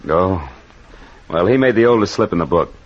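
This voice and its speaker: An actor playing a wry, worldly private detective with an American accent, narrating and talking in clipped hard-boiled radio noir style. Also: male voice, sits low, animated, normal speed. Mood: wry, reflective. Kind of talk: 1940s-50s radio noir acting and first-person narration. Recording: muffled vintage radio recording with music.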